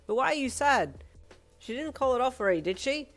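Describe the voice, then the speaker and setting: A woman voicing a warm middle-aged mother who gushes tenderly over her daughter. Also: sits high, expressive, moderate pace, soft background music, voice pushed up. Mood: affectionate. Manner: gushing, tender.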